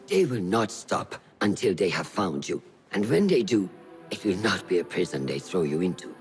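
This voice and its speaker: A male actor playing a stern, commanding mentor in a video game drama, speaking in terse, measured phrases. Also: deep voice.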